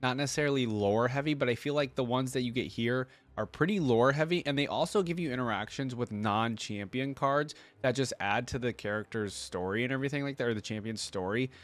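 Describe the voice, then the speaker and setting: Casual man reacting, mid-pitched voice. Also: brisk, hardly any pauses. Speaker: reaction video host.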